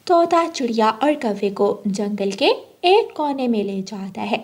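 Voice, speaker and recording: A woman's voice: a little high, lively intonation, unhurried, darkish tone. A woman narrating a scripted children's cartoon story.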